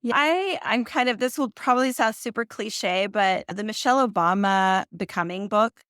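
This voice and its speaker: A woman in her forties from Central America, talking casually as an interview guest and telling animated stories. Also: medium pitch, moderate pace, clean tone, no room sound, studio quality. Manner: animated storytelling, acts out quoted voices. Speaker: guest, company founder.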